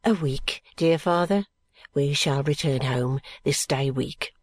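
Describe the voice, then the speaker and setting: A woman's voice: a bass voice, takes it slowly, slightly rough, no room sound, pitched below the performer's own voice, exaggerated voice. A woman voicing a devoted, deferential young bridegroom in an audiobook, humble and reverent in manner. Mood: dutiful. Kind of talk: audiobook character acting.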